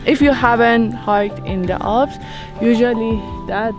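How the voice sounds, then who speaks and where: Travel vlogger, woman, outdoors on a trail, slow and upbeat, music bed behind. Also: fairly high pitch. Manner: enthusiastic, exclaims at views. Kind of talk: casual vlog narration while hiking.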